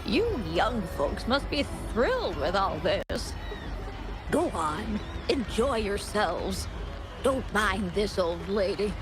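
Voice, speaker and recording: Elderly mother, game character, slow delivery, expressive swooping pitch, gentle, wistful, music behind. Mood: cheerful, delighted. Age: older. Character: gentle grieving old mother, polite and wistful. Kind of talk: video game voice acting.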